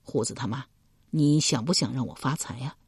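A woman's voice: very deep voice, big pitch swings, fairly quick, breathy edge, dry recording, studio quality, heavily acted. A woman storyteller playing a ruthless, ambitious husband from ancient times, domineering and coldly persuasive. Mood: coaxing.

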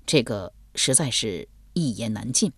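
Female narrator as weary male swordsman, deep-pitched, mild, soft-spoken, audiobook, dry close sound. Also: big pitch swings, normal speed, no room sound, full character voice. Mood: gentle, resigned. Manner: soft-spoken, resigned, explanatory.

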